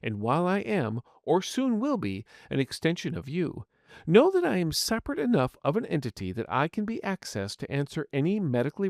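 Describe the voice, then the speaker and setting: A man's voice: fairly high pitch, no room sound, studio quality. A man voicing a polite, earnest artificial intelligence medical assistant in an audio story, speaking formally and courteously. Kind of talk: scripted audiobook narration in character.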